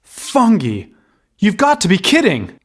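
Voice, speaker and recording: A man's voice: sits high, huge pitch swings, unhurried, noisy background, full character voice. An actor playing a cocky, sarcastic young man in an audio drama. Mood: incredulous. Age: young adult.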